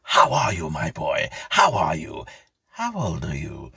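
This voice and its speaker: A man voicing an austere but eagerly kindly old gentleman, fussy and effusive, repeating his questions in a storybook reading. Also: extremely low pitch, huge pitch swings, measured pace, creaky, slightly rough, full character voice.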